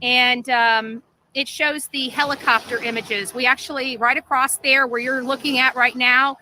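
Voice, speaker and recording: A woman with a high, piercingly bright voice talks casually on a livestream. She rambles as she explains, often checking in with listeners, over faint ambient noise. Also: level tone, normal speed. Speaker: livestream amateur sleuth.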